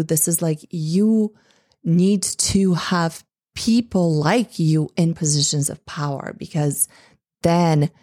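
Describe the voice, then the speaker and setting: Woman, 40s, earnest speaker to women in tech, low warm voice, dry sound. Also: no echo. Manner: impassioned, many "like"s and restarts. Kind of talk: earnest speech to an audience.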